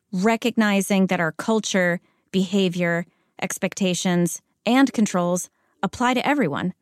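Measured American-accented woman, dry sound. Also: medium pitch, a little mellow, no echo. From Spain. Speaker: corporate chief integrity officer. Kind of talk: podcast interview answers.

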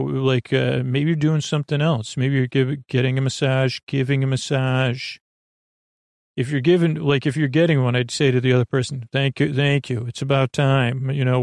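Male sleep-podcast host: gravelly, soft, meandering and halting, very dry close sound. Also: medium pitch, dry recording. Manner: meandering, halting, circles back and loses his thread; gentle, soft delivery. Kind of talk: rambling, soothing bedtime monologue.

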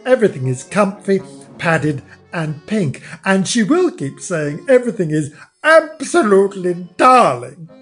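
Man putting on a high-pitched, nagging older mother. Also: sing-song, measured pace, faint music behind. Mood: scornful. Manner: brash, nagging, exclamatory. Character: overbearing, scolding, blunt older mother of grown son.

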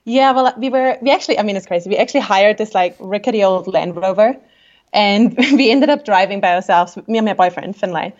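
A woman's voice: mid-range voice, brisk, darkish tone, lo-fi sound. A woman in her twenties from Austria, with an accent mixing Austrian and New Zealand tones, chatting as a podcast guest, often laughing and restarting her sentences. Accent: Austrian with a New Zealand tinge. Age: 20s. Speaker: podcast guest, travel photographer. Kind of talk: casual interview chat.

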